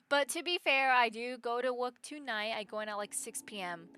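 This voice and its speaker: A woman's voice: a little high, normal speed, bright, forceful tone. A young adult woman, chatting casually and in a rambling, informal way as a game streamer. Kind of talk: casual livestream chat and game commentary.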